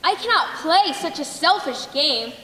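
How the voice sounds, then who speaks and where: A woman's voice: sits high, lively intonation, unhurried, a bit bright. A young teen girl on a school stage playing a kind, earnest youngest sister who becomes queen. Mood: modest, earnest. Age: young teen middle schooler. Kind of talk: school stage play acting, projected lines. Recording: echoey reverberant hall stage recording.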